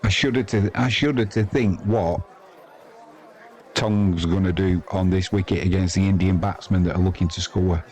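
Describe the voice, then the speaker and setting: Deep-voiced Englishman chatting cricket. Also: steady tone, moderate pace, darkish tone. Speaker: live sports stream commentator and host. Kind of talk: casual ad-libbed live cricket commentary and chat. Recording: crowd noise behind the voice.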